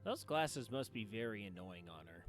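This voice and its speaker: A man chatting casually on a game livestream at home, with playful, drawn-out phrasing. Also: fairly low pitch, sing-song. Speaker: game streamer. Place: indoors at home. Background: game music faintly behind.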